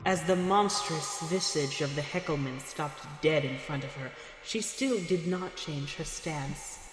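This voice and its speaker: Low-voiced woman narrating horror. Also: a little slow. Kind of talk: dramatic scripted horror narration. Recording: echoing hall reverb, music behind.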